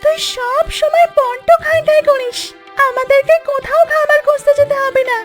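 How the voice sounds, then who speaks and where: A woman's voice: very high-pitched, fairly even intonation, normal speed, faint music behind. A woman voicing a worried, protective cartoon mother duck who frets and scolds her young. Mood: scolding. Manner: fretful, admonishing.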